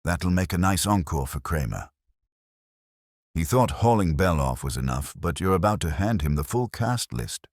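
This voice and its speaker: A male narrator plays a streetwise detective's assistant in an audiobook. He is sardonic and probing, his very low voice swinging up and down, recorded dry. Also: expressive, normal speed, a little mellow, no room sound. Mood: wry. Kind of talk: audiobook character dialogue.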